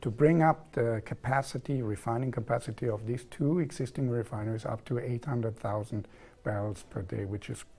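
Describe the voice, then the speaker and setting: A man's voice: medium pitch, mellow, breathy edge. German man in his forties explaining steadily. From southern Germany. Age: 40s. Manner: measured, explanatory, repeats set phrases. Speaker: interview guest, engineer. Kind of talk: TV studio interview. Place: TV studio.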